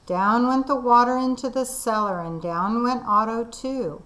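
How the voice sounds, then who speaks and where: A woman teacher reading a children's storybook aloud, expressive and deliberate. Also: on the high side, rough recording. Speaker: kindergarten teacher.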